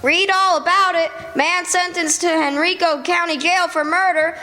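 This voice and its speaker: A woman's voice: very high-pitched, fairly even intonation, moderate pace. A woman playing a brash street newspaper seller crying out headlines in a radio drama. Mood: urgent, hawking. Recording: echoey hall with music.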